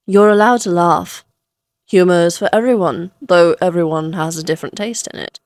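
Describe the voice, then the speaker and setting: Woman doing an immortal vampire lady for an audio roleplay, super slow n teasing, old-timey fancy talk, close dry sound. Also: on the low side, darkish tone, no echo. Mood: amused, chiding. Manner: teasing, theatrical, archaic genteel phrasing. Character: taunting immortal female vampire, playful, elegant, flirtatious. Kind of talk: Victorian fantasy audio roleplay.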